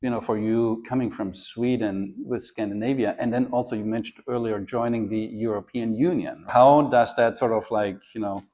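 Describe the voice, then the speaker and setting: Male host, lowish voice, attentive, muffled lo-fi audio. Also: fairly even intonation, moderate pace, few pauses, mellow. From Germany. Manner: attentive, frequent affirming backchannels. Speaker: podcast host. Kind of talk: casual interview conversation. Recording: dull lo-fi sound.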